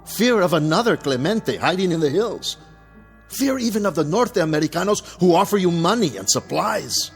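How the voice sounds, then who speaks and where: An actor playing a deposed old dictator in a radio drama, defiant and scornful, speaking rhetorically in a gravelly, husky voice. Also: male voice, high-pitched, lively intonation, moderate pace, heavily acted. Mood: grim warning. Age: older. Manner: theatrical, rhetorical. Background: faint music.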